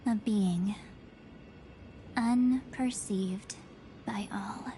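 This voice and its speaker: A woman voicing an enigmatic, teasing memory spirit in a video game, with a calm, deliberate, cryptic delivery. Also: medium pitch, a little hiss or hum, poor audio. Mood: cold, ominous. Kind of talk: English video game voice acting. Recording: background music.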